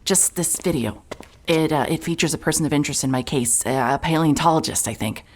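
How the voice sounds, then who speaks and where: A woman's voice: low voice, brisk. An actress playing a dogged, awkward female private investigator in a cinematic audio drama, moving between brooding narration and hesitant dialogue. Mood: eager, careful.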